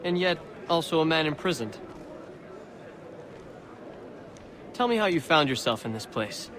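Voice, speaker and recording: Arch higher-voiced prisoner, crowd behind. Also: male voice, big pitch swings, fairly quick. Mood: skeptical. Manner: arch, eloquent, theatrical. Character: wry, suspicious colonial prisoner, florid fervent patriot. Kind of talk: video game cutscene acting.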